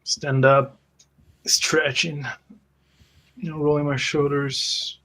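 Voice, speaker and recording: A man describes his character's actions in a tabletop roleplaying game. His voice has a lot of fry and moves slowly, in halting phrases. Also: a little high, flat delivery, a bit bright, low-quality recording.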